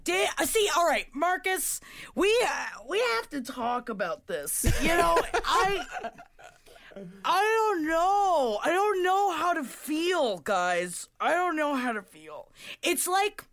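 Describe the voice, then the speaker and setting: A young woman co-hosting a casual comedy podcast, loud and swearing as she tells stories. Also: extremely high pitch, slow pace. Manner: loud, swearing, lively storyteller. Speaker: podcast co-host.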